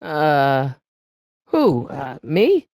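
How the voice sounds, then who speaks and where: An earnest American teenage boy from an adventure video game. His voice is high and youthful, rising and falling with animated urgency. He pleads and persuades eagerly, with a nervous, stammering edge, recorded dry and clean. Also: no echo, exaggerated voice. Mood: nervous, hesitant. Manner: hesitant, stammering, eager, fast-talking persuader. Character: earnest, anxious teenage boy hero of a time-travel adventure. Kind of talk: video game character dialogue.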